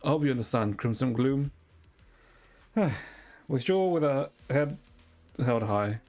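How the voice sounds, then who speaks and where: Man, casual game streamer, medium pitch, musing asides, reads dialogue aloud, faint music behind. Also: lively intonation, takes it slowly, a little mellow. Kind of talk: casual gameplay commentary, reading story dialogue aloud. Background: video game music.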